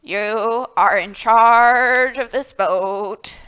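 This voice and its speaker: A woman voicing a kindly old male goat in a storybook, gentle and indulgent in manner. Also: on the high side, drawn-out words, smooth.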